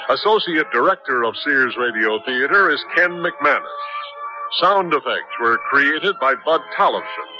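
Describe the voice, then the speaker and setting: A man reading scripted credits as announcer for a 1970s radio theater. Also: mid-range voice. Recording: dull 1970s radio recording with music.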